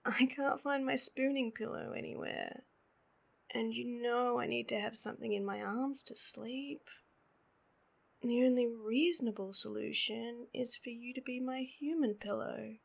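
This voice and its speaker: A sleepy, needy girlfriend played by a woman with an Australian accent, whining playfully at bedtime. Her delivery is slow and drawled, with a creaky, husky voice. It is intimate roleplay audio, spoken right to the listener. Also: on the high side, steady tone, heavily acted. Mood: whiny, needy. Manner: drowsy, whiny drawl with sighs and hums.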